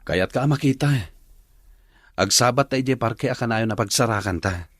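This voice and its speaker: A man's voice: mid-range voice, moderate pace, dry recording, close to an everyday voice. A man with an Ilocano accent acting as an earnest young boyfriend in a radio drama. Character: Andrew, young man doubting his girlfriend, earnest.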